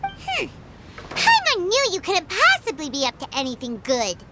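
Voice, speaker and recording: A woman voicing a tiny, childlike, excitable female fairy companion in a video game, with a squeaky, exclamatory delivery. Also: normal speed, heavy background noise, exaggerated voice. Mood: teasing suspicion. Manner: exclamatory, refers to self in third person. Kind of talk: video game character voice acting.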